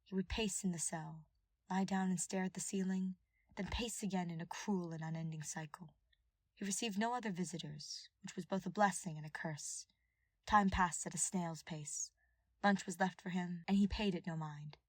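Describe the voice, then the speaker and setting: A woman reading scripted fiction aloud as an expressive audiobook narrator. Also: lowish voice, normal speed, dry recording.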